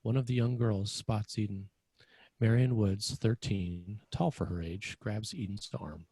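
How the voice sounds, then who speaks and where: A man narrating scene directions for a screenplay table read, with a slightly husky voice. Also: on the low side, unhurried, mellow, dry recording. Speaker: narrator reading stage directions.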